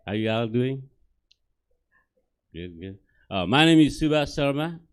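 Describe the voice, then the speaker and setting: A middle-aged man from Nepal with a Nepali accent works a small theater crowd in plain, broken English. His pitch sits mid-range, he keeps checking in with the room, and he speaks into a stage mic. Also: lively intonation, unhurried, clear, resonant tone. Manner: simple broken English, chatty, keeps asking the crowd "right?". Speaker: stand-up comedian. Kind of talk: stand-up comedy set.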